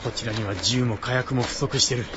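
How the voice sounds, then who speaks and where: A man acting a weary, worried member of an expedition party in a radio drama, with expressive dramatic delivery. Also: mid-range voice, a little fast, highs cut off, background noise, close to an everyday voice.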